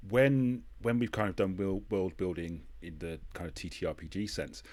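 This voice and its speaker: A man's voice: low voice, fairly even intonation, normal speed, a little mellow. A man hosting a podcast in a casual conversational style, hesitant and agreeable as he talks. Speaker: podcast host. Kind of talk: casual interview chat.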